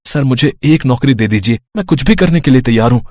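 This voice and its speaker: A man acts out a hapless young husband in a moral story. He sounds fretful and pleading, with a mid-pitched voice in a dry recording. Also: animated, brisk, a little mellow, no echo, natural voice. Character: hapless, anxious young husband hiding a lie.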